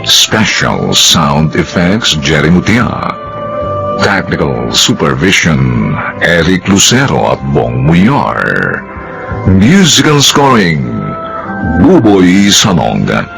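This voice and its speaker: A man's voice: sits low, big pitch swings, slow pace, gravelly, music in the background. A man with a Filipino accent narrating and announcing a radio drama in a solemn, theatrical manner. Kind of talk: scripted dramatic narration, credit reading.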